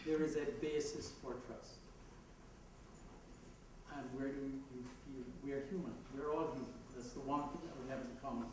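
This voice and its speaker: A man's voice: mid-range voice, steady tone, moderate pace. A man with a Jamaican accent giving a conversational talk at a conference, laughing at his own asides. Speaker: conference speaker. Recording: echoey hall, mic heard from a distance, background noise.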